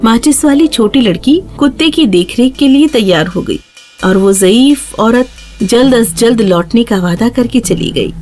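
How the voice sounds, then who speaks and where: A woman's voice: a little high, lively intonation, darkish tone, soft background music. A woman narrator reading a scripted children's fairy tale in a storytelling style.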